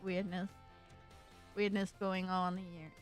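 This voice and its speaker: A woman streaming a video game who chats casually and reads the on-screen text aloud, slowly and haltingly, sometimes tripping over words. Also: lowish voice, flat delivery. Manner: slow, halting, trips over words while reading. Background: faint game music.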